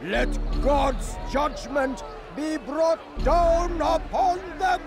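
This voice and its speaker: Power-hungry fanatic villain, high-pitched, slow-paced declamation, theatrical, video game, score behind. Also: male voice, creaky, loud music behind the voice, a loud crowd, heavily acted. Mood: fiery, commanding. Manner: declamatory, preaching, drawn-out emphatic phrasing. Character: cruel, power-drunk male zealot executioner rallying a crowd.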